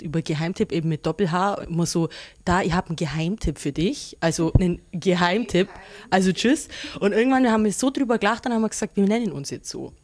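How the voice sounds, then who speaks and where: Brief: woman in her twenties, musician guest, lively and giggly, faint noise behind. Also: medium pitch. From Augsburg, Germany. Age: 20s. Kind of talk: casual interview answers.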